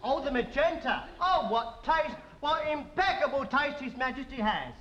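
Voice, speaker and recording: Fussy, high-pitched, fawning royal tailor. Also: male voice, animated, normal speed, sharp tone, full character voice. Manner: gushing, obsequious, effusive. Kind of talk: theatrical period comedy acting. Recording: dull, echoey, noisy recording with crowd.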